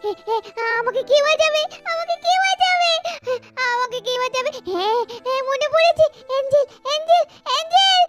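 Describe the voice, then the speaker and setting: A woman's voice: very high-pitched, brisk, fairly bright tone. A woman voicing the bewildered, innocent ghost of a little girl in a doll-story cartoon, pleading childishly and repeating her calls. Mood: desperate, pleading.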